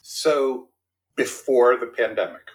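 A man's voice: mid-range voice, fairly even intonation, slow pace, low-quality recording. A man in his fifties from Brooklyn, New York, whose first language was Spanish, talking casually as an interview guest and checking in often with "right?". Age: 50s. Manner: self-deprecating, checks in often with tag questions.

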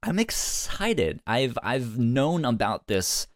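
A man's voice: medium pitch, very animated, slow pace, a little hiss or hum. A man co-hosting a casual review podcast, often stammering and repeating words as he goes. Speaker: podcast co-host.

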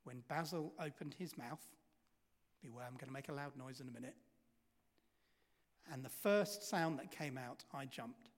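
A man preaching a sermon, telling a story and asking the congregation rhetorical questions, his volume swinging from loud to hushed. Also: mid-range voice, normal speed, long pauses. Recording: reverberant hall.

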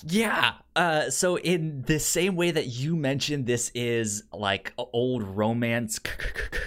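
A podcast co-host in relaxed film conversation, speaking at a slow pace. The speech stammers often, with words and first syllables repeated before the thought moves on. The style is casual and unscripted. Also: medium pitch. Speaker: podcast co-host reviewing films.

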